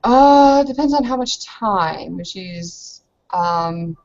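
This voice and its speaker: A woman's voice: medium pitch, lively intonation, very slow, vocal fry, a little mellow. A woman chatting casually as a player in a tabletop roleplaying game. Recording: dull lo-fi audio.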